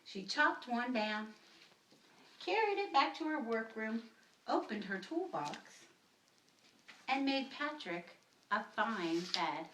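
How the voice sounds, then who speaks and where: A woman storyteller narrates for children in a fairly high, very animated voice. She takes her time and reads expressively. The sound is roomy and slightly distant, with faint noise. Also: slow, long pauses.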